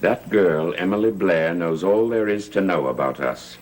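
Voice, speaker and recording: Cold, deep-voiced schemer. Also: male voice, animated, moderate pace. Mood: cold, impatient. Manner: stern, cutting. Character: cold, calculating man breaking off with accomplice lover. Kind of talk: gothic soap opera acting. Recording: old TV soundtrack, loud music behind.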